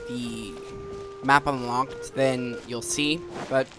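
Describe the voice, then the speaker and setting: A man with a mid-pitched voice hosts a gaming video. He comments casually as he plays. Faint game music runs underneath. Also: level tone, slow. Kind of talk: casual let's-play commentary.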